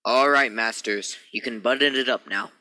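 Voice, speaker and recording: An actor playing a firm spaceship captain in a science fiction radio play, giving orders with authority. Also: male voice, mid-range voice, normal speed, sharp tone, no echo, low-quality recording. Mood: commanding.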